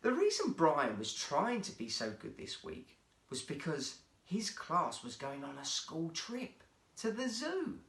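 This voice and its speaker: A man tells a children's story with lively, theatrical expression. His fairly high voice swings widely in pitch, and the room around him sounds echoey. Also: a little slow, creaky. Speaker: guest storyteller.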